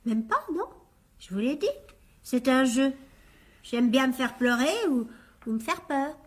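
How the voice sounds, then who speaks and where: Casting: French schoolgirl of fourteen, high voice, anxious, secretive, pleading delivery, radio play. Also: unhurried. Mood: confessing. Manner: hesitant, repeating words, pleading. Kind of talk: French radio drama acting.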